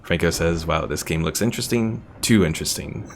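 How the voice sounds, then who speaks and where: Very low, gravelly male game streamer. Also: a little fast, a little hiss or hum. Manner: chatty, thinking aloud. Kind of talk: casual live gameplay commentary. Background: game music.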